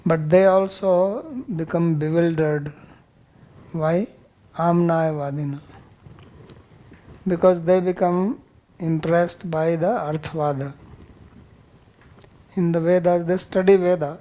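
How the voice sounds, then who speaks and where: A man's voice: fairly high pitch, fairly even intonation, slow, long pauses, dark tone, poor audio. A man teaching scripture as a religious teacher, bluntly correcting and explaining Sanskrit terms word by word. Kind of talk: verse-by-verse scripture teaching with questions and answers.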